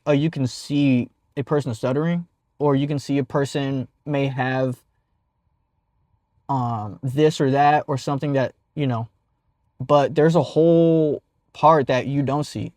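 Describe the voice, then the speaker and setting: A man's voice: fairly high pitch, a little slow, a little mellow, dry recording, studio quality. A young man with a stutter telling a casual personal story as a vlogger, with many fillers and restarts.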